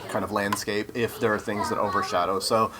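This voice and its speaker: Low voiced guy earnestly explaining stuff in a casual interview, busy crowd behind him. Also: level tone, a little fast. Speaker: interview guest, video game developer. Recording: crowd chatter around the voice. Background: crowd chatter.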